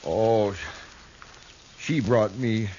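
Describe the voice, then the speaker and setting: A man playing a timid, simple young cowboy outlaw with halting, pleading speech in a 1950s radio western. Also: fairly low pitch, dark tone. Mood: relieved, sheepish. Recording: dull lo-fi old radio recording, faint noise.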